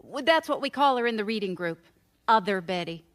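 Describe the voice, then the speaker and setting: An actress playing an eager, chirpy stand-in secretary in a radio mystery, bustling and chatty. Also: female voice, medium pitch, lively intonation, a little fast, a bit bright, lightly acted.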